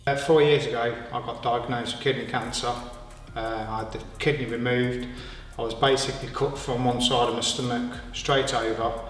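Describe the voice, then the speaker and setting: Flat-voiced man in his fifties, echoey. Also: mid-range voice, normal speed. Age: 50s. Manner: plain, matter-of-fact, with many "uh" fillers. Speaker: client giving a testimonial. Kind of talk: interview testimonial. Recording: echoey hall, from far off.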